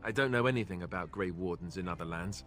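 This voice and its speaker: A young warrior, lowish voice, earnest but hesitant, fantasy game, faint music. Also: male voice, quick pace, fairly bright tone. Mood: uncertain, earnest. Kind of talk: fantasy video game acting.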